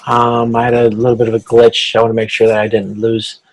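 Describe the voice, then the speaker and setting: A man teaching as a college instructor in an informal recorded lecture, explaining in a halting way and correcting himself as he goes. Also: medium pitch, completely flat delivery, rough recording.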